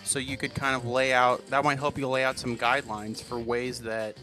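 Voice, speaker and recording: Preachy deadpan man, medium pitch, music behind. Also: moderate pace. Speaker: co-host. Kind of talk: mock-serious commentary on calls.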